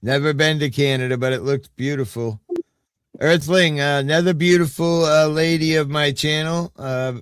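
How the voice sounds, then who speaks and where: Smooth-voiced man in his late fifties. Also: fairly high pitch, steady tone, normal speed, no room sound. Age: 50s. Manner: rambling, easygoing, trails off with fillers. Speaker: live-stream host. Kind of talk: casual chat.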